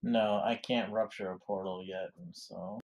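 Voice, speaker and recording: A man's voice: lowish voice, fairly even intonation, a little slow, vocal fry, a little mellow. A man playing a tabletop game with a wry, laid-back manner. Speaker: player. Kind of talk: casual game chat.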